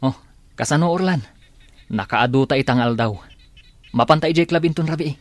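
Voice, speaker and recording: A man's voice: a little high, moderate pace, dry recording, natural voice. A man with an Ilocano accent playing an impatient young petty thief in a radio drama. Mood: eager.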